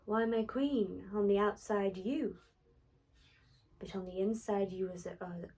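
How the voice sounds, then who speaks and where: A woman voicing a truth-telling magic mirror from a storybook, in a measured, formal manner. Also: medium pitch, darkish tone, breathy edge, distant mic. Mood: grave.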